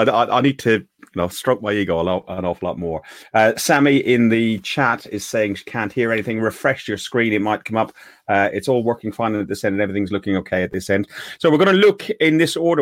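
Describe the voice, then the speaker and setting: A man's voice: fairly low pitch, no echo. An Irish man hosting a casual fan chat show, talking in a rambling way with many restarts. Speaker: podcast host.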